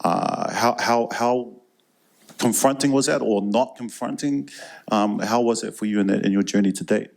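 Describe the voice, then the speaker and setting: A man hosts a live panel discussion in a low, gravelly voice. He asks his questions haltingly, restarting several times and padding them with "um"s before he lands the point. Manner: halting, many stammered restarts and fillers. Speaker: host.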